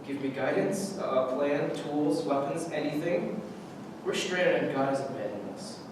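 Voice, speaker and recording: An actor in a staged reading playing a tense, embittered soldier held prisoner, clipped and argumentative. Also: male voice, measured pace, natural voice. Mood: defiant, bitter. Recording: echoey hall from far off, noisy rumble.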